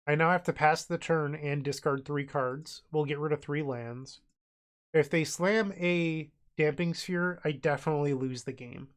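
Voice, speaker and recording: A man with a cold, sounding congested and nasal, casually narrating his online card game move by move as he thinks aloud. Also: a little high. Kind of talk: casual gameplay commentary.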